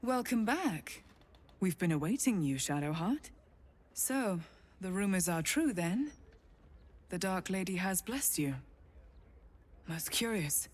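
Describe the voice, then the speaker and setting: A woman playing a stern, haughty priestess of a dark cult in a video game, deliberate, withholding and ominous. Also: mid-range voice, expressive, a little hiss or hum. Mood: cool, ominous. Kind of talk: video game character dialogue.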